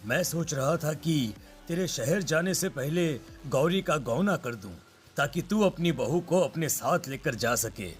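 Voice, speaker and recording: Man as middle-aged father, village friend, cartoon, slightly high pitch, music behind. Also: animated, measured pace. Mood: friendly, earnest. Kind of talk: cartoon story dialogue.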